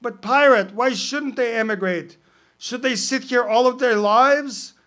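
A man's voice: very high-pitched, a little slow, fairly bright tone, voice pushed up. A man reading a poem aloud, voicing a fussy, anxious male parrot in prim, indignant tones. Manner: worried, indignant, prim speech. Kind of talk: poetry reading, acted dialogue.

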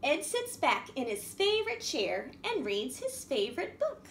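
Very high-pitched, animated woman storytime host. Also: unhurried. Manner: animated, sing-song, simple questions to small children. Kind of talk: children's storytime, reading and teaching. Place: small indoor room at a wooden table. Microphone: camera a few feet away. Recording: roomy echo, faint background noise.

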